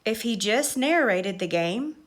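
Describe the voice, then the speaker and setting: A woman reading a children's story aloud with expressive, measured, dramatic narration. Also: on the high side.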